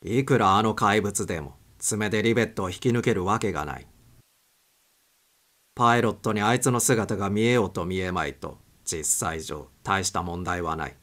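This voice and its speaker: A man's voice: sits low, moderate pace, a bit bright. A man narrating a suspense story as a dramatic audiobook reading.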